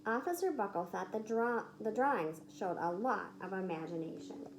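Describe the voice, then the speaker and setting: A woman reading a children's picture book aloud in an expressive, emphatic storytelling manner. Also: mid-range voice, moderate pace, noisy background. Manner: animated, emphatic, acts out the dialogue.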